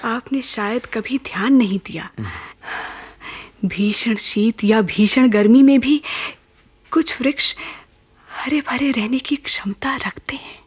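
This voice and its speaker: A woman's voice: fairly high pitch, slow, breathy edge. An actress playing a caring, anxious young woman of about twenty-one in a Hindi radio play, speaking in an earnest, pleading manner. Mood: earnest, firm. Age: young adult.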